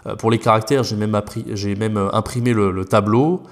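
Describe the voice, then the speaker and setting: A man's voice: on the low side, fairly even intonation, fairly quick. French man rambling with many fillers. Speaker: French teacher in China, guest. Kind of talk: casual podcast interview.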